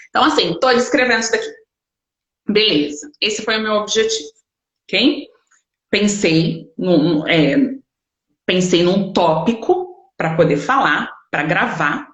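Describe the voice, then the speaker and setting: A woman's voice: mid-range voice, takes it slowly, a bit bright. A woman with a Brazilian Portuguese accent giving an informal online lesson, explaining conversationally and often checking in with short tags. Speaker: English study teacher. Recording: echoey room sound.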